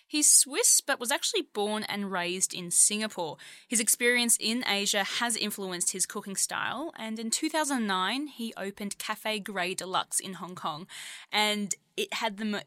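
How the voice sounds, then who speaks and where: Female co-host, bright crisp voice, dry studio sound, chatty. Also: medium pitch, moderate pace, no room sound. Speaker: podcast co-host. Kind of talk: scripted narration.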